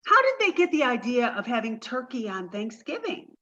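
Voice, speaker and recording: A woman plays a sweet scatterbrained young woman in an old radio comedy. Her high, lively voice is naive and earnest. The sound is slightly dull and lo-fi. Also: brisk. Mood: sweet, eager. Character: sweet, dim, eager young woman, Irma. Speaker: amateur theatre performer. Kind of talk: 1940s radio sitcom acting.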